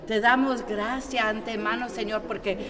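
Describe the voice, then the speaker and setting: A woman praying aloud, slow and pleading. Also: on the high side, steady tone, in a large hall, a crowd in the background. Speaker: person praying. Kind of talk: spoken prayer.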